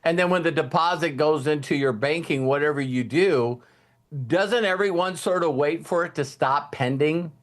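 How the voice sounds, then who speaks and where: A man with a slightly high voice speaks to a group as host of a motivational talk. His delivery is informal and conversational, and he often checks that listeners are following him. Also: normal speed.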